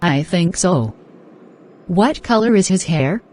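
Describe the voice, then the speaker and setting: A woman playing a polite, eager young woman in a scripted cartoon dialogue for English learners, asking and answering in a deliberate way. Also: fairly low pitch, animated, dark tone. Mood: friendly, casual.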